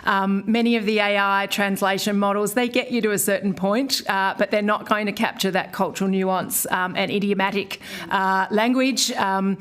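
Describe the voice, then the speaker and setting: A woman giving formal testimony to a parliamentary committee. She speaks in a measured way with frequent ums and uhs. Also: mid-range voice, steady tone, a bit bright. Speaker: official witness.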